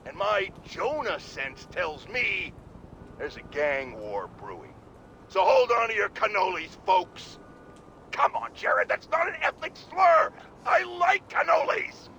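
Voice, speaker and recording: An actor playing a pompous, self-important older radio pundit with an American accent, ranting emphatically in a video game. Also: male voice, sits high, huge pitch swings, slow pace, pushed and bright, heavily acted. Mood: indignant. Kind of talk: radio talk show rant.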